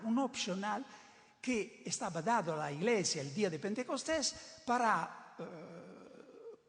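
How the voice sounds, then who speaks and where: An older man who is not a native Spanish speaker, preaching a sermon in an emphatic way, often repeating and restarting words. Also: sits high. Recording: reverberant hall.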